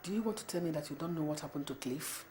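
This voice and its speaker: A woman acting a wife who questions her husband, measured and accusing. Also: deep voice, a little mellow, soft background music, close to an everyday voice. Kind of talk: melodramatic film acting.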